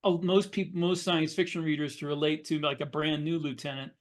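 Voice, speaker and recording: A middle-aged man talks as a podcast co-host in a casual, explanatory way. His voice is slightly high for a man. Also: fairly even intonation, brisk.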